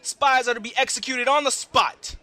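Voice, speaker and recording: A man playing a brisk, suspicious military captain in an audio drama, reporting in a clipped, formal way. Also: very high-pitched, fairly even intonation, bright, forceful tone, no echo, full character voice. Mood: stern, accusing. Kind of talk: scripted audio drama acting.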